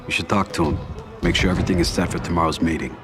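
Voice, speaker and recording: An actor playing a calm, controlled mafia don who talks in a quiet, measured, commanding way, in a game cutscene. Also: male voice, sits low, music in the background, close to an everyday voice. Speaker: crime family boss. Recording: game cutscene over loud score.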